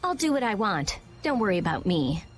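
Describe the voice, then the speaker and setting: A woman's voice: medium pitch, big pitch swings, a little fast, faint music behind, lightly acted. A woman voicing a blunt, skeptical, brainy teenage girl in a video game dub, curt and matter-of-fact. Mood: irritated.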